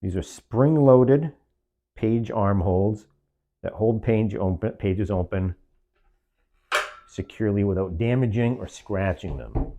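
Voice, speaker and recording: A man's voice: on the low side, slow pace, pauses often, mellow, lo-fi sound. A man giving a semi-scripted product review, explaining features step by step in short phrases.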